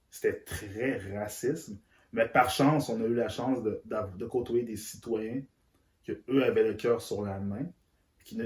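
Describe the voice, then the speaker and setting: A man's voice: on the low side, a little mellow. Hesitant man in his thirties. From Central Africa. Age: 30s. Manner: hesitant, repeats words, earnest. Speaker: interview guest. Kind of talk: candid conversation. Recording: roomy echo.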